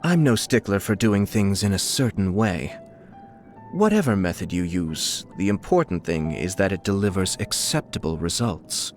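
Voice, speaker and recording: Game role: calm, scheming young male clan head, lowish register, courtly and measured, music underneath. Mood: composed. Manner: polite, measured, formal, slyly amused. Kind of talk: scripted video game character lines.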